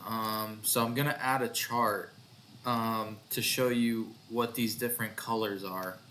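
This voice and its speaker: Slow-paced man with bright, forceful voice. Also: on the low side, fairly even intonation, slight background noise. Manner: hesitant, thinking aloud. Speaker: developer presenting own project. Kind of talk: casual screen-recorded demo narration.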